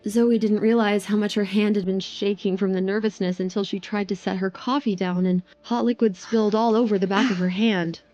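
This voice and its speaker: A woman's voice: medium pitch, steady tone, brisk, few pauses, a little mellow, dull audio. A woman narrating a scripted romance audio drama.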